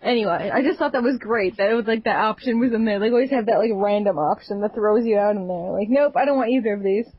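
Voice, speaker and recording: A woman's voice: mid-range voice, a little fast, slightly rough, no room sound. A woman co-hosting a casual gaming podcast, giggly and rambling with lots of "like". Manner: giggly, rambling with many likes. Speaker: podcast co-host. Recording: dull lo-fi dry sound.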